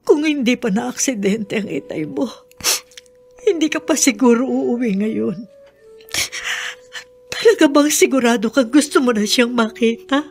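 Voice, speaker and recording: A woman acting a worried, gentle mother with a Filipino accent in an emotive radio drama style. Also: on the high side, unhurried, vocal fry, pauses often, slightly rough, soft background music, heavily acted. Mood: gently admonishing. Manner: tearful, emotive. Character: worried, grateful wife and mother, gentle and forgiving.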